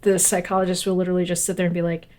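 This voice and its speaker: A woman co-hosting a podcast in casual conversation, with halting, informal phrasing that trails off. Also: on the low side, monotone, a little mellow. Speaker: podcast co-host.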